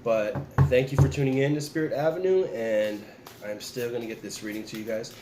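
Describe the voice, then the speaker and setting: A man's voice: medium pitch, fairly quick, echoey room, very noisy. A man giving a casual tarot reading, talking straight to his viewers while getting over the flu. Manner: relaxed, repeats and restarts, addresses viewers directly. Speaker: tarot reader.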